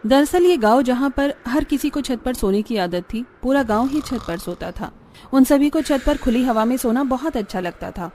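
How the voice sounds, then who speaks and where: A woman's voice: high-pitched, animated, normal speed, warm tone, slight background noise. A woman reading scripted narration for a cartoon story.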